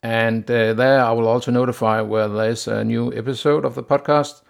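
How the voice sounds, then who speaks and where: Danish-accented man with a gravelly voice. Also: mid-range voice. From Denmark. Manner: steady, plain delivery with frequent "uh" pauses. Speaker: podcast host. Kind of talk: solo business podcast monologue.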